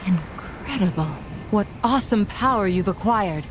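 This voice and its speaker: A woman's voice: medium pitch, animated, moderate pace, over music, close to an everyday voice. An actress playing a confident, sardonic woman warrior in a video game cutscene. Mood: cool, stern.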